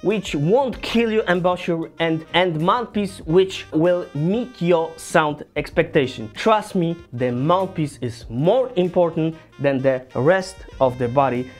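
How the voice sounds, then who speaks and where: A man in his thirties from Poland with a Polish accent, a saxophone teacher giving a casual, earnest gear review in halting non-native English, in a small home studio on a camera mic a few feet away. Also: a little high, faint music behind.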